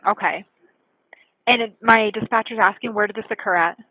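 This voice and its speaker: A woman's voice: medium pitch, normal speed, breathy edge. A woman working as an emergency call taker, asking procedural questions over a telephone line. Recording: tinny narrowband emergency phone line.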